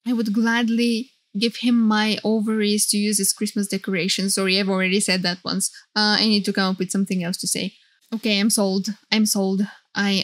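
A woman streamer giving excited, casual reaction commentary in a playful, flirty, gushing way. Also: medium pitch. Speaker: streamer reacting to game trailers. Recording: loud music behind. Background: game trailer music and sound.

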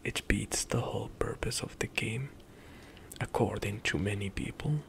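A young man whispering softly in a slow ASMR ramble over gameplay, close to the mic, at home. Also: on the low side, creaky. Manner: soft whispered rambling with light laughs. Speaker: ASMR gaming streamer. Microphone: close studio mic, headset on.

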